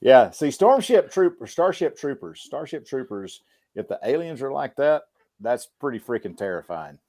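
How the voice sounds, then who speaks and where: A man's voice: mid-range voice, big pitch swings, moderate pace, mellow, no room sound. A middle-aged American man co-hosting a casual livestream talk, laid-back and colloquial, repeating words for emphasis. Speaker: podcast co-host.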